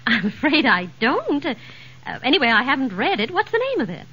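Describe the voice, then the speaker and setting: Down to earth wife, 40s radio comedy, high bright voice, muffled old recording. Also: female voice, wildly swooping pitch, fairly quick, exaggerated voice. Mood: puzzled. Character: wry, down-to-earth small-town housewife. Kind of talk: 1940s radio situation comedy acting.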